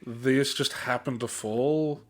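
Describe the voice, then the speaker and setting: A young adult man with an Australian accent and an ordinary mid-pitched voice. He talks like a podcast co-host among friends, loose and slangy, wandering through his thoughts in a laid-back way. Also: steady tone, slow. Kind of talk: casual chat.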